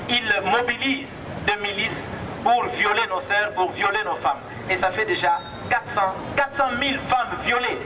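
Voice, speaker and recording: Man, Congolese accent, high-pitched voice, emphatic activist speech, repeated phrases, outdoors far from the mic. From Democratic Republic of the Congo. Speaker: activist speaker at a protest. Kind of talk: impassioned public protest speech. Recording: distant mic, noisy outdoor street sound.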